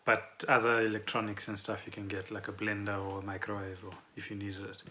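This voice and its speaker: Guy, bright voice, casual podcast chat, kinda halting, bit of background noise. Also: fairly low pitch, level tone. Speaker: podcast co-host.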